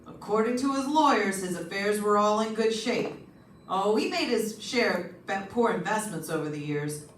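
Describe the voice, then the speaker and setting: A woman's voice: mid-range voice, expressive, moderate pace, lightly acted. A woman playing a seasoned, plainspoken homicide lieutenant in an old-time radio detective drama, matter-of-fact and colloquial. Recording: roomy, distant stage recording, faint noise.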